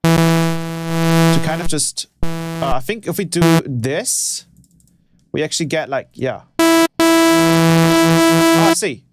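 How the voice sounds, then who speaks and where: A man's voice: on the high side, takes it slowly, pauses often, low-quality recording. A man who produces music, thinking aloud in a casual, hesitant way as he talks through a livestream tutorial. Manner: hesitant, musing while experimenting. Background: synthesizer music.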